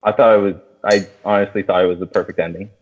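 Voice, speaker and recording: A low-voiced man hosts a casual movie review podcast over thin, phone-like audio. He talks loosely and enthusiastically, with plenty of swearing and filler words. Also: steady tone, fast talker. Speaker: podcast host.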